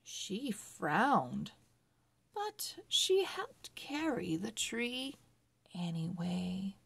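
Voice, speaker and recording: A woman reading a children's story aloud as a read-along narrator, with deliberate word-by-word phrasing. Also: medium pitch, big pitch swings, gravelly, a bit bright, husky. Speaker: read-along reader for early readers.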